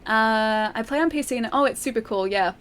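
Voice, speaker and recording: Chatty Australian woman, slightly high voice. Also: fairly quick, a bit bright. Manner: chatty, laughs often, thinks aloud with many fillers. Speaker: game streamer. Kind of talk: casual live-stream chat, reading chat aloud.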